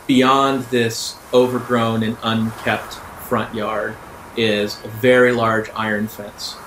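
A man acting as game master, improvising descriptive tabletop narration and often pausing mid-phrase. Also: mid-range voice, a bit bright, a little hiss or hum, poor audio. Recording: background music under voice.

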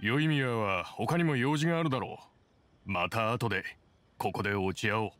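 A man's voice: on the low side, moderate pace, a bit bright, a little hiss or hum, natural voice. An actor playing a loyal, easygoing boatman in an anime game, casual and plain-spoken. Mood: friendly, easygoing. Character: easygoing loyal boatman, friendly adult man. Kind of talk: anime video game voice acting. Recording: music behind the voice.

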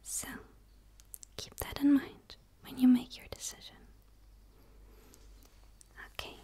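A woman voicing a gentle, curious dark alien in a soft, tentative, pleading ASMR roleplay whisper. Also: slow, natural voice. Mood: earnest, gentle. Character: gentle, curious female dark alien eager for human friendship.

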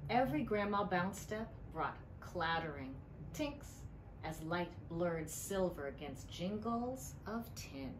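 Animated, low-voiced woman reading a storybook. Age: middle-aged. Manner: deliberate, storytelling emphasis. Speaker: children's librarian reading a picture book. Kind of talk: expressive storybook read-aloud. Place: indoor library room. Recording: clearly noisy room ambience.

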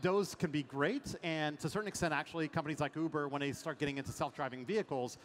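A man's voice: fairly high pitch, fast, a little hiss or hum. A middle-aged man from France, a venture investor, talking energetically with many fillers in a fireside chat on a large conference stage, through a headset mic. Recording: long hall reverb, faint ambience.

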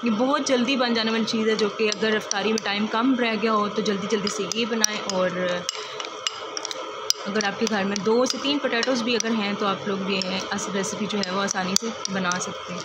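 A woman's voice: medium pitch, steady tone, normal speed. Chatty woman in a noisy kitchen. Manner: chatty, step-by-step, leans on the filler "jo hai". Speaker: cooking vlogger. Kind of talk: casual cooking demonstration. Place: home kitchen, indoors. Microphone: phone held over the stove. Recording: noisy phone recording in a kitchen. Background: loud kitchen noise, frying and running water.

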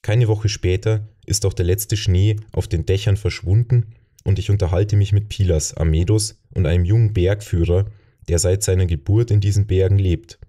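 Man, very dark mellow tone, low pitch, scripted audiobook narration. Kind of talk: scripted fantasy novel narration, first person.

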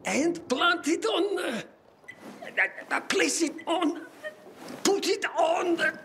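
An actor playing a frantic, absent-minded older male bomb maker in a video game, halting and flustered as he searches for words. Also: extremely high pitch, fairly bright tone, slight background noise, heavily acted. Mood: frantic, exasperated.

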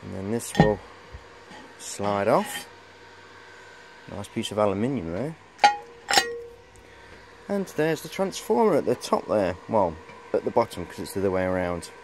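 A man's voice: lowish voice, big pitch swings, a little slow, pauses often, heavy background noise. A middle-aged man giving a casual, hesitant, rambling show-and-tell on a handheld camera in a cluttered workshop. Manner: rambling, hesitant, repeats "of course". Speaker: hobbyist presenter.

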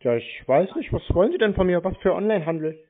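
A man's voice: sits high, lively intonation, quick pace. A man being obstinate and querulous in an argumentative phone conversation. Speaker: scam-baiting call recipient. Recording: narrow, tinny phone sound.

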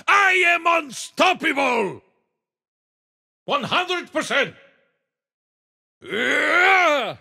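A big alien mad scientist from a racing game, boastful and theatrical in a thick Russian accent. The voice is high and wildly swooping, with a gravelly rasp. His clipped exclamations trail into a long reverb. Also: sharp tone, full character voice. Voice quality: gravelly, raspy. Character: big blustery alien mad scientist kart racer, male. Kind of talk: exclamatory video game character voice clips.

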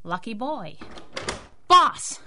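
An actress playing a tough, sassy, wisecracking masked heroine in a 1930s radio drama. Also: female voice, a little high, sing-song, extremely slow, background noise, full character voice. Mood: tough, dry. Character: feisty wisecracking female masked sidekick.

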